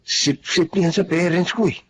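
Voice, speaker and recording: A man's voice: fairly high pitch, normal speed, a bit bright, a little hiss or hum, natural voice. An actor playing an irritable, wayward young man in a Filipino radio drama, grumbling and peevish. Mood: irritated. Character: irritable, wayward young man who drinks and uses drugs.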